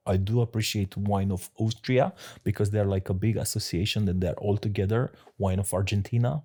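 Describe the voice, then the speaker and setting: A man from Romania, a sommelier guest answering interview questions in halting English with many fillers and laughs. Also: deep voice, warm tone, husky. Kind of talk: casual interview talk.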